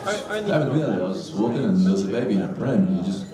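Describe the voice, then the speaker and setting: A man's voice: lowish voice, very animated, rapid-fire. A man from Iraq doing a crude, blunt stand-up comedy set on a club stage. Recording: roomy club sound from a distance with loud crowd laughter.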